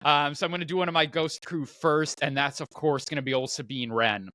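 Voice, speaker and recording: Bright, dry-sounding man chatting casually. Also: a little high, steady tone, no echo. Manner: halting, repeats words as he explains. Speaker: podcast host. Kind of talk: casual chat.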